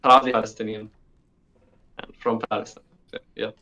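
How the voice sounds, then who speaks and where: A man's voice: fairly low pitch, little pitch movement, normal speed, sharp tone. Teenage young man on a dull call. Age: teens, sixteen. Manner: informal, repeats himself for emphasis. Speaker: interview guest. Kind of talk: casual conversational interview.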